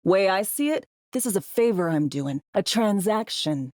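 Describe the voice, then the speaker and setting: A woman's voice: mid-range voice, lively intonation, normal speed, darkish tone, no room sound, lightly acted. Woman playing a brash, cynical game spy, close dry sound. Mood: irritated, blunt. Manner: clipped, slangy, sardonic, blunt. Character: brash, foul-mouthed, cynical female spy, world-weary and wisecracking. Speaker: undercover field agent in a sci-fi game. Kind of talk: video game character dialogue.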